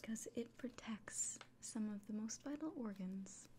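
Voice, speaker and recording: A woman playing a kindly village innkeeper in a fantasy ASMR roleplay, speaking in a soft, breathy whisper with gentle, hesitant pauses. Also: mid-range voice, natural voice. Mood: gently fussing. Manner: gentle, unhurried, hesitant pauses, fussing over the listener. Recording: close soft recording with clear background noise.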